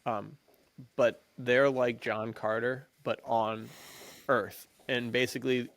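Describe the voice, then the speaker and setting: A man's voice: mid-range voice, little pitch movement, takes it slowly, fairly bright tone. A man co-hosting a podcast, chatting casually and enthusiastically in slang about adventure stories. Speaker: podcast co-host. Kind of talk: casual chat about adventure books and films.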